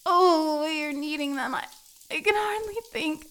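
Woman acting a high-voiced, pleading cat girl. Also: fairly bright tone. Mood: needy, breathless.

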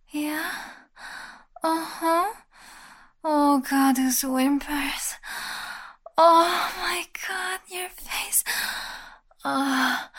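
A woman performing an intimate audio roleplay, speaking mostly in a soft, commanding whisper. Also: sits high.

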